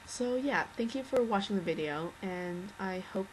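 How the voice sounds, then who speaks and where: A teenage girl with a low, slightly worn voice, rambling earnestly through an unscripted video message as a fan. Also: lively intonation, normal speed, slight background noise, poor audio. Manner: rambling, earnest, many restarts and fillers. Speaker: fan addressing a singer.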